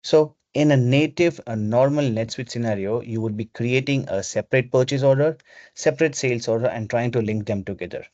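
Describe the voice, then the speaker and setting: A man presenting a webinar, explaining software step by step in an instructional way over a video call. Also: medium pitch, a little fast, no room sound. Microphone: video call microphone. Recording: dull, lo-fi video call audio.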